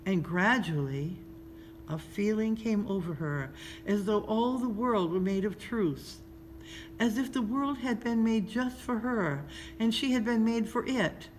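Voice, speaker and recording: A woman's voice: mid-range voice, unhurried. A woman narrating a children's storybook expressively as a story reader. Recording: clearly noisy background ambience.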